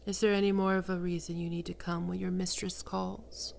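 Woman playing a sultry domineering demon queen, slow whispers, low gravelly voice, faint ambience behind. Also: level tone, a little mellow, a little hiss or hum. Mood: commanding. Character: seductive, imperious female demon queen of lust. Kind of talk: ASMR roleplay audio drama.

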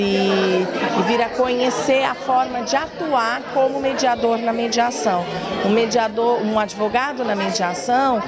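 A woman in her forties, a mediation lecturer, giving an interview on a handheld mic in a lecture room, measured and explanatory with brief hesitations. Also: a little high. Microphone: handheld mic, close framing.

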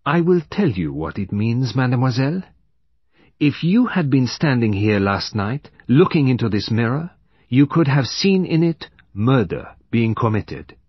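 A husky, very animated older detective, acted by a male audiobook reader. He is courteous, probing and sly, choosing each formal, foreign-phrased word with deliberate care. The sound is close and dry. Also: mid-range voice, no echo, highs cut off, pitched above the performer's own voice. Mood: theatrical, assured. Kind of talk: audiobook character voice.

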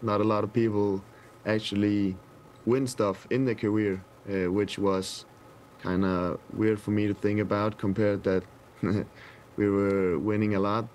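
A young man in his twenties with a Danish accent, answering interview questions over a video call from a press room, hesitant and soft-spoken with many pauses. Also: fairly low pitch, flat delivery, slight background noise. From Denmark. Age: 20s. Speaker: footballer guest. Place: indoor press room with sponsor backdrop. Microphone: webcam video call.

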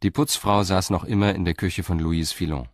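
Deep-voiced male crime story narrator. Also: fairly even intonation, fairly quick, dry recording, studio quality.

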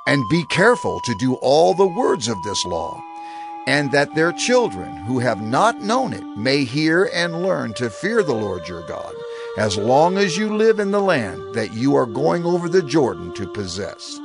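An elderly prophet addressing his people in a scripture drama. His delivery is earnest and emphatic, with lively, wide-swinging intonation over clear background music. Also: mid-range voice, a little slow. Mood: resolute, encouraging.

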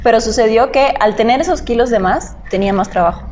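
A woman's voice: fairly high pitch, quick pace, a little mellow, lo-fi sound, slight background noise. Reflective young woman from Cuba. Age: young adult. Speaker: podcast guest, model. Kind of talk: casual podcast interview. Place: indoor podcast set at a table.

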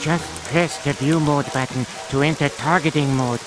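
An actor playing a gloomy, hulking monster butler in a cartoon game, speaking in a formal, servile and droll way. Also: male voice, fairly high pitch, steady tone, normal speed, over music, close to an everyday voice, rough recording. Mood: dutifully gloomy.

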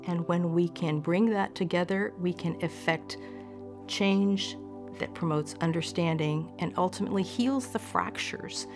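An older woman from Oklahoma, an associate dean, speaking earnestly in a studio interview. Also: on the low side. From Oklahoma, USA. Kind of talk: interview to camera. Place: studio with blue backdrop.

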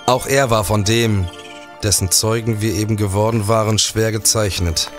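An actor playing a serious police detective in a period radio play, sober and reflective. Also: male voice, lowish voice, fairly even intonation, moderate pace, over music, lightly acted. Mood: sober, shaken. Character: serious male 19th-century police detective recounting his case. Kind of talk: radio play narration and acting.

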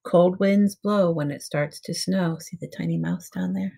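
A middle-aged woman reading a picture book aloud to young children in simple, measured storybook phrasing. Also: on the low side, vocal fry, dark tone. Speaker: preschool teacher reading aloud. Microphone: webcam at arm's length.

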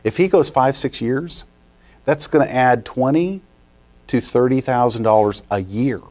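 Man, middle-aged, TV host, mid-pitched, chatty, enthusiastic. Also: measured pace, a little mellow. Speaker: TV show host. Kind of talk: TV business interview. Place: TV studio set.

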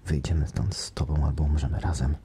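A man voicing an anguished, devoted young nobleman who speaks in an urgent, hushed way. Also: very deep voice, little pitch movement, a little fast, breathy edge, pitched below the performer's own voice, heavily acted.

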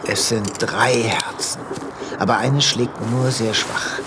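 An actor playing an eccentric, earnest space veterinarian in a comedic sci-fi audio drama, with a dramatic, quirky delivery. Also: male voice, mid-range voice, fairly even intonation, slow pace, background noise, close to an everyday voice. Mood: urgent. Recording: loud ambience and sound effects behind.

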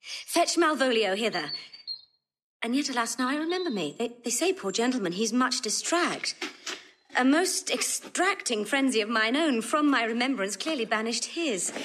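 High, animated, imperious countess. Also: female voice, normal speed. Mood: concerned. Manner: imperious, expressive. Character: commanding, impatient young countess. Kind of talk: classical Shakespearean stage acting.

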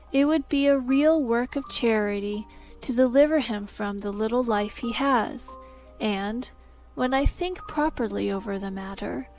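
A woman's voice: fairly high pitch, darkish tone, lightly acted, rough recording. A woman voicing a genteel princess who sounds sweetly concerned yet coldly calculating. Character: cold, genteel young princess.

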